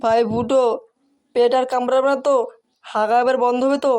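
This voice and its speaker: A man's voice: very high-pitched, little pitch movement, a little fast, a little mellow, clean tone. Whining sick young cartoon fellow, acted by a man. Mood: miserable, whining. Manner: complaining, whiny. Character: sick whining young male friend with stomach cramps. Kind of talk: comic cartoon voice acting.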